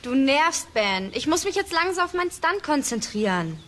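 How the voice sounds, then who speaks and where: A woman's voice: sits high, very animated, moderate pace, fairly bright tone, slight background noise. A female actor playing an impatient young stunt-riding girl in a children's radio drama. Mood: annoyed.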